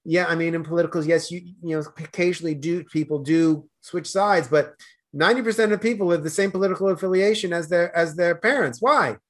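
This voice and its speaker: A middle-aged man from Boston with a Boston accent, a guest talking earnestly in a casual interview and growing loud when animated. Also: fairly high pitch, a little fast.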